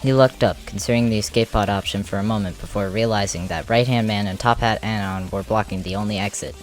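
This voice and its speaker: Expressive comic dub narrator, faint music behind. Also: deep voice, fast talker. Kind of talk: scripted story narration in amateur comic dub. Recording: music under the voice.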